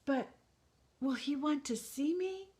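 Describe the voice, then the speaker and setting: A woman's voice: takes it slowly, darkish tone. A woman voicing a small, anxious wooden boy puppet in a children's storybook. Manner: anxious, pleading, high.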